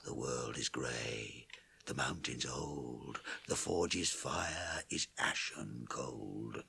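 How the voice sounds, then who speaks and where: An actor playing a proud, solemn dwarf in a radio drama, grave and declamatory, with a gravelly, husky voice. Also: male voice, rumbling low, very slow, noisy background, heavily acted. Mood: solemn, mournful chanting. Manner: grave, declamatory, chanting old verse. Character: proud, solemn dwarf mourning his people's lost kingdom. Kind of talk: 1980s radio drama acting.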